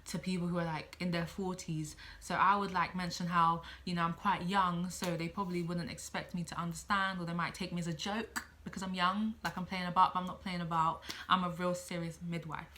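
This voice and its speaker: A woman in her late teens speaks in a bright, crisp-edged voice pitched a little low. She sounds like a student vlogger giving casual advice, chatting freely, thinking aloud and circling back over her points. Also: little pitch movement, a little fast, hardly any pauses, a little hiss or hum. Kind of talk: casual advice vlog, talking to camera.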